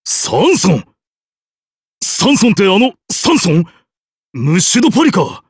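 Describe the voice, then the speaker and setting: A man's voice: sits high, very animated, moderate pace, breathy edge, no room sound, clean studio sound, heavily acted. A man voicing a bold, hearty emperor in a game, with a brash, theatrical and casual way of talking. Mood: excited awe. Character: bold, grandiose, hearty emperor and war leader. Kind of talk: game character voice lines.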